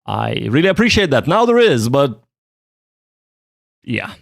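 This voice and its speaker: A man's voice: mid-range voice, big pitch swings, measured pace, creaky, no echo, clean studio sound. A man hosting a casual study livestream, thinking aloud in a joking, self-deprecating way. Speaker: livestream host, language learner.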